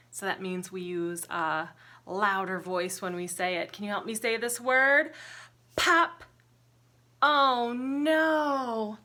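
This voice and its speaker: Lady teacher doing storytime for little kids, bright voice kinda high, sing-songy and asks lots of questions. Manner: sing-song, expressive, simple phrasing with questions to young listeners. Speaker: teacher reading a picture book aloud to young children. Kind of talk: interactive children's storybook read-aloud with counting and singing.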